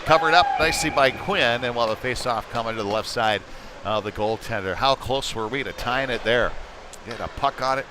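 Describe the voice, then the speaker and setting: An American man giving casual, conversational live hockey play-by-play as a commentator, getting excitable when play heats up. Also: mid-range voice, a little fast. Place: indoor ice rink. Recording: noisy indoor ice rink.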